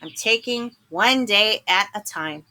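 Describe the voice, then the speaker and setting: A woman voicing a small, glum yet earnest bad sunflower seed in a picture book, confiding and dramatic with drawn-out emphasis. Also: medium pitch, pushed and bright. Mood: glum, then earnest. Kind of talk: picture book narration in first person.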